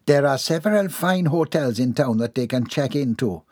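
A man's voice: medium pitch, animated, moderate pace, a little mellow, husky, dry recording, clean studio sound. A man voicing a prim, proper older lady who disapproves of her guests, in an audiobook. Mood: disapproving. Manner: stiff, disapproving.

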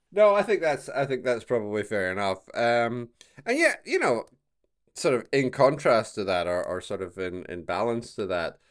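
A man hosting a podcast, casually discussing a TV show in wordy, halting phrases full of repeated words. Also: medium pitch, big pitch swings. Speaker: podcast host.

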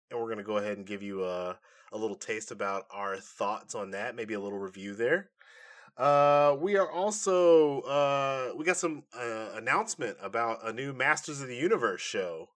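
A man hosting a podcast, explaining things in a casual, animated and informal way. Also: mid-range voice. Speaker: podcast host. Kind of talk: casual nerd-news podcast chat.

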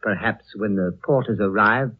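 Serene, formal monastery host, low voice. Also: male voice, breathy edge. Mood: serene, courteous. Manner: formal, measured, deliberate phrasing. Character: courteous, evasive lamasery host, serene and formal man. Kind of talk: 1930s radio drama acting. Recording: old muffled radio recording, music behind.

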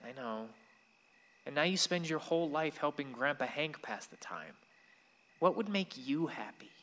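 An actor playing a gentle, earnest, watchful grandson in a radio play. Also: male voice, fairly high pitch, very animated, normal speed. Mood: gentle, searching. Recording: long hall-like reverb.

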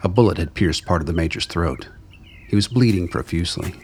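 A man's voice: low voice, brisk, slight background noise. A man reading scripted dramatic narration for a radio play.

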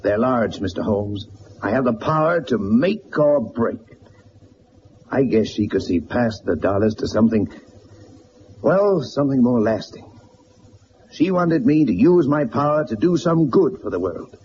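Man playing proud rich businessman, blunt n halting, mellow but animated, radio play w muffled sound. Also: mid-range voice, natural voice. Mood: grave, troubled. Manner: halting, emphatic, confessional. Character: wealthy, proud, blunt middle-aged businessman, used to power. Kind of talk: radio drama acting. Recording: dull, noisy old radio drama recording.

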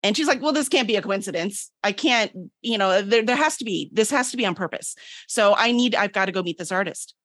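A woman's voice: mid-range voice, expressive, brisk, a bit bright, dry recording. A woman author chats informally in a mid-range voice. Her delivery is breezy and conversational, as a guest describing work casually. The sound is dry and close, with little room. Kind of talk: casual chat, pitching own books.

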